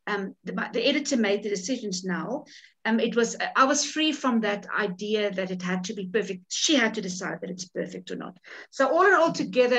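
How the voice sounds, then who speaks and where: A woman's voice: a little high, a bit bright, low-quality recording. A woman from South Africa with a South African accent, whose first language is Afrikaans, talking casually as an author in a workshop over a video call, with many restarts and repeated words. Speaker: author leading a writing workshop. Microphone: video call webcam.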